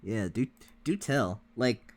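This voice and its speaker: A man's voice: medium pitch, lively intonation, takes it slowly. A man co-hosting a reaction livestream, chatting casually with the viewers. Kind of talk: casual chat with stream viewers.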